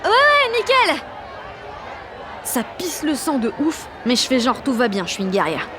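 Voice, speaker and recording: A woman playing a brash, excitable sixteen-year-old girl with grandiose ideas, narrating an audio drama in slangy, dramatic first person. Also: sits high, a little slow, background noise, heavily acted. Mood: proud, excited. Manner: slangy, cocky, dramatic teenage delivery. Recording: music and street crowd behind the voice.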